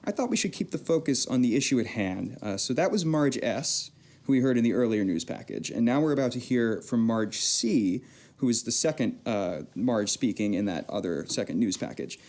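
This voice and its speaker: A man's voice: mid-range voice, expressive, normal speed, darkish tone, lightly acted. A man playing an earnest, fussy researcher in an audio drama, explaining tapes in a pedantic, hesitant way. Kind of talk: audio drama expert commentary.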